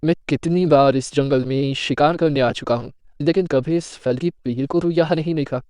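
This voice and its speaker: A man's voice: on the high side, normal speed, darkish tone, smooth, dry recording, clean studio sound, lightly acted. A man playing a worried fairy-tale king in a cartoon story, musing to himself. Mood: troubled, musing.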